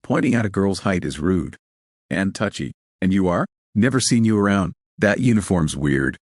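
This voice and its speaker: A man voicing a small, mocking, mysterious spacefaring woman in an audio story, flippant and brisk in manner. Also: deep voice, expressive, no room sound, clean studio sound. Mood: teasing, touchy.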